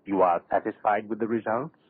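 An actor playing a suave, power-hungry schemer in a 1930s radio horror drama, speaking with pompous, quietly menacing oratory. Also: male voice, lowish voice, fairly even intonation, fairly quick, husky. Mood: smug menace. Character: suave, power-hungry male dictator-in-waiting, grandiose schemer. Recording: muffled old 1930s radio recording.

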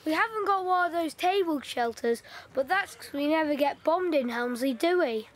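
A woman's voice: high-pitched, animated, moderate pace. A woman playing a chatty, blunt wartime schoolchild in an audio play. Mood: excited. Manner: chirpy, blunt.

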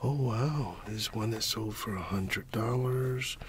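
A man doing soft-spoken ASMR roleplay, often whispering, talking in a halting, unhurried murmur as if to a friend beside him. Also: on the low side, noisy background. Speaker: ASMR creator.

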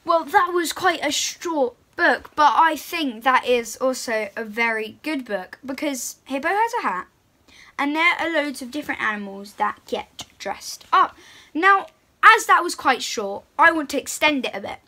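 A young girl, presenting to little children in an excited, encouraging way and reading picture books aloud. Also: sits high, measured pace. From England. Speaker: host of a children's read-aloud channel. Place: small room at home, indoors.

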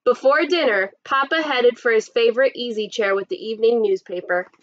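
Woman in her thirties, higher voice, kindergarten storytime, expressive storybook reading, slightly dull webcam sound. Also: brisk. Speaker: kindergarten teacher. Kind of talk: read-aloud storybook narration for young children. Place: small indoor room set up as a classroom.

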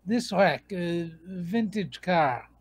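A man's voice: high-pitched, expressive, slow pace, breathy edge, heavily acted. A man voicing a stingy, grumpy old duck uncle in a cartoon comic dub. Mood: stern.

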